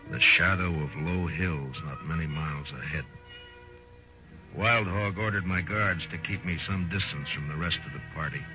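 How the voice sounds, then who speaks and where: An actor playing a stern, world-weary US Marshal in a 1950s radio western, terse and deliberate. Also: male voice, lightly acted. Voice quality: deep. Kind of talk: 1950s radio drama, first-person narration and dialogue. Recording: muffled 1950s radio recording with music.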